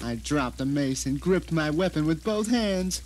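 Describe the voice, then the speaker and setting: A man acting a high-voiced, bitter captive narrator. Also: animated, noisy background. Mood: baffled, angry. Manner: melodramatic, sardonic, deliberate phrasing. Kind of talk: dramatic first-person audio drama monologue.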